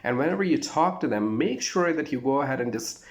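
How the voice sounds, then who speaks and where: A man's voice: medium pitch, expressive, fairly quick. A man with an Indian accent giving an informal spoken lesson as a voice trainer, instructive and repetitive, with emphatic phrasing.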